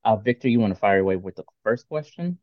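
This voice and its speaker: A man hosting a casual podcast interview, friendly and relaxed, quick to agree. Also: on the low side, dry recording. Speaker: podcast host. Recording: dry, dull lo-fi mic.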